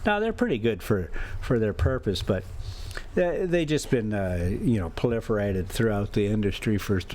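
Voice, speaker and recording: A man's voice: on the low side, lively intonation, moderate pace, darkish tone, slight background noise. An American man chatting casually as a travel vlogger on a drive, rambling in a folksy, teasing, sarcastic way. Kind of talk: casual rambling chat and ranting.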